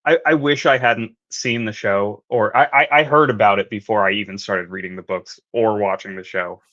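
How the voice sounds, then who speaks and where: A man chatting casually as a guest, talking in halting, restarted phrases. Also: lowish voice, fairly even intonation, fairly bright tone. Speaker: guest on a fan discussion show. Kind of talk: casual chat. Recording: slightly dull lo-fi audio.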